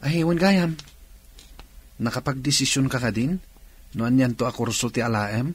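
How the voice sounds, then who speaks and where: An actor with an Ilocano accent playing a familiar, advising older brother in a radio drama. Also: male voice, medium pitch, normal speed, breathy edge, close to an everyday voice. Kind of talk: Filipino radio drama acting.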